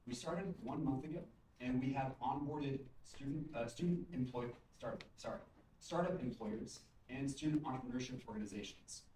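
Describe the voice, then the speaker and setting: Young man pitching in an echoey room. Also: moderate pace, mellow. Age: young adult. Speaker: student founder pitching a startup. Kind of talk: rehearsed presentation pitch. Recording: roomy, distant mic, faint noise.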